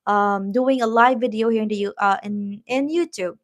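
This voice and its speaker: A woman from the Philippines with a Filipino accent and Tagalog as her first language, chatting casually on a live stream, encouraging viewers and pausing often with "um". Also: mid-range voice. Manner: warm, encouraging, reads viewer comments, many "um" fillers. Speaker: YouTube livestream host.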